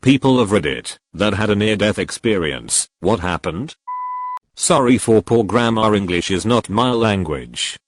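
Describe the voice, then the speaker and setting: A man reads forum stories aloud in a lowish voice. His pitch rises and falls in a lively, animated way as he goes straight through each post in one steady narrating voice. The recording is close and dry, with no room sound. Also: measured pace, dry recording. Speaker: narrator reading online posts.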